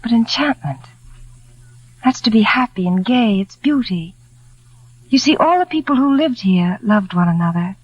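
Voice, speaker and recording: An actress in a 1940s radio drama playing a shy, dreamy young village woman who speaks in a hushed, wistful storytelling way. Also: mid-range voice, expressive, normal speed, long pauses, breathy edge, no echo. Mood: dreamy, intense. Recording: muffled vintage 1940s radio recording.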